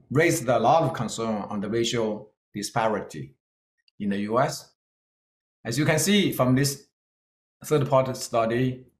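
A middle-aged man from China with a Chinese-Scottish accent, giving an earnest keynote talk with slides and pausing on fillers. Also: medium pitch, echoey room, rough recording. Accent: Chinese-Scottish English. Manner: earnest, plain-spoken, with hesitant fillers. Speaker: keynote speaker.

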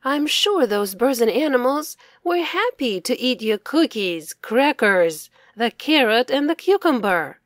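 Woman playing a patient mom, slow and animated. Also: a little high, no room sound, studio quality. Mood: comforting. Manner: reassuring, patient explaining. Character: kind, comforting mother of a young boy.

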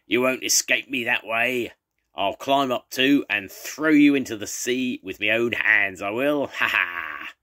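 A man's voice: low-pitched, a little slow, sharp tone, heavily acted. Throaty storybook pirate villain, menacing and gloating, read by a man. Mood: roaring. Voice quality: throaty, growling. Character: gruff villainous male pirate captain. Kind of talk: storybook reading.

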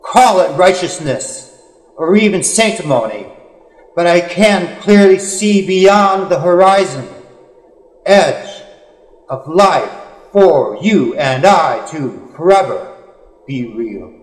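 A man reading poetry in a solemn, dramatic style, with earnest, measured phrasing and drawn-out pauses. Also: fairly high pitch, animated. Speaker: poet. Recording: echoey, long hall-like reverb.

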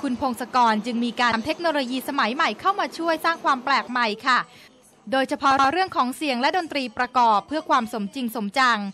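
A woman narrates a television feature with a high, bright voice. Her delivery is polite, scripted and polished, in the manner of a news presenter. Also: fast talker.

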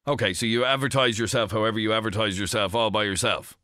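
Irish radio guy, bright lively voice, dry studio sound, keeps restarting his questions. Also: on the low side, no echo. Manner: probing, halting questions with many restarts. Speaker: radio phone-in host. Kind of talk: talk radio interview. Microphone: studio microphone.

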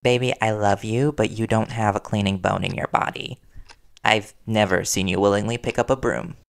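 A man playing a worried reporter boyfriend in an intimate audio roleplay, earnest and halting as he gently confronts his partner. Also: on the low side, steady tone, creaky, smooth. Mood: suspicious, stern.

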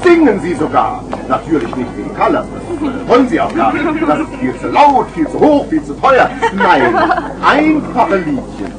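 A man's voice: high voice, wildly swooping pitch, normal speed, music in the background, a crowd in the background, poor audio. A man playing a boisterous street hawker with theatrical, wheedling patter in a vintage children's radio play. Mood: persuasive. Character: boisterous male street hawker selling garden gnomes. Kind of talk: 1970s German children's radio play acting.

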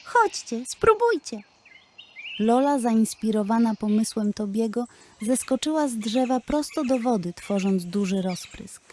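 A woman's voice: fairly high pitch, normal speed, warm tone, slight background noise. A woman narrating a scripted bedtime story for children.

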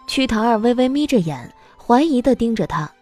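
Mellow, expressive woman narrator. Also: on the high side, fairly quick, soft background music. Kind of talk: scripted audiobook narration.

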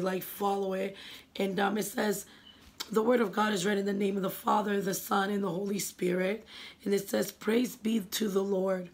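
A young woman, hoarse and raspy from a cold, sharing her faith in an earnest, emotional vlog from her living room. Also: medium pitch. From New England. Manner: earnest, emotional, gestures a lot with her hands. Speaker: vlogger.

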